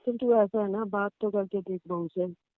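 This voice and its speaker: A woman's voice: mid-range voice, fairly even intonation, moderate pace, no room sound, lightly acted. Woman playing agreeable lonely mother, tinny phone line. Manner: brief, agreeable replies with frequent soft hums. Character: lonely, ailing middle-aged mother, gently agreeable. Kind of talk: staged casual phone conversation in Hindi. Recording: tinny, band-limited phone call recording.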